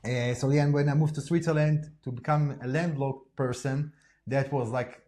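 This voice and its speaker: A young adult man from Israel chats as a podcast guest. He talks in a relaxed, halting way, full of fillers and repeated yeahs. His voice sits in an ordinary middle pitch. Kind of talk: casual chat.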